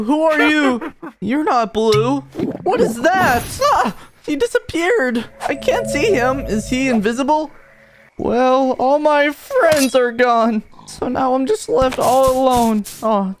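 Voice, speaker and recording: Woman playing an excitable cartoon stick figure, high voice, slow delivery, chatty slang, amateur dub, noisy home recording. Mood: alarmed, threatening. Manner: casual youthful slang, chatty exclamations. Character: excitable orange stick figure searching for lost friends.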